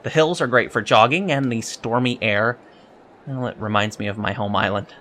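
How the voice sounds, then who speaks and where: A man's voice: mid-range voice, moderate pace, bright, forceful tone, slight background noise, close to an everyday voice. Earnest, hesitant young alien cadet, played by a man. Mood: eager, explaining. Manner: hesitant, polite, precise wording with many ums. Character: earnest young male alien Starfleet cadet, eager and scholarly. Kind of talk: audio drama interview acting.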